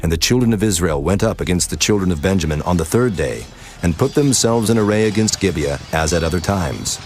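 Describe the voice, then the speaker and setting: A man reading scripture as narrator in a measured, solemn style, with music behind. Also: deep voice, lively intonation.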